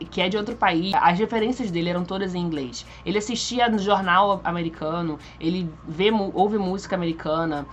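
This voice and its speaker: A young woman speaks, rattling through a personal story at a brisk clip. Her pitch sits in the middle range, and her talk is loose and chatty, full of fillers and restarts. Faint room ambience hums in the background. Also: a little hiss or hum. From Brazil. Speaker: vlogger. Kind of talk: casual personal storytelling to camera.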